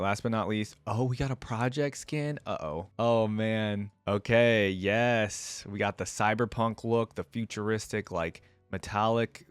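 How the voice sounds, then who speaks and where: A man's voice: fairly low pitch, fairly bright tone, clean tone, no room sound. A man hosting a gaming reaction video, commenting informally and sometimes reading aloud. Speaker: host of a gaming lore reaction channel.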